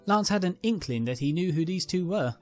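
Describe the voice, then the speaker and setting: A woman's voice: fairly low pitch, lively intonation, a little fast, soft background music. A woman narrating a scripted fan fiction story as its reader.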